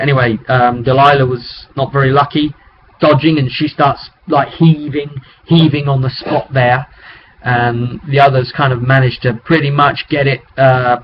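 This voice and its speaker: A man telling the story of a tabletop roleplay session as its game master, casual, colloquial and rambling, recorded on a webcam microphone. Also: medium pitch. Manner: rambling, colloquial, acting out sound effects. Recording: dull, noisy webcam microphone.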